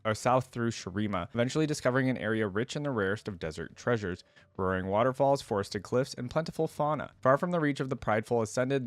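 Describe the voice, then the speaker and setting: Low-voiced man, close and dry. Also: no echo. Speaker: reaction video host. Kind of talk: casual reaction commentary and reading lore aloud.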